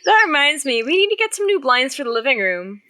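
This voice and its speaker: A woman's voice: high-pitched, sing-song, fast, bright, forceful tone, a little hiss or hum, exaggerated voice. A woman playing a dry, teasing wife trading banter in an audio drama.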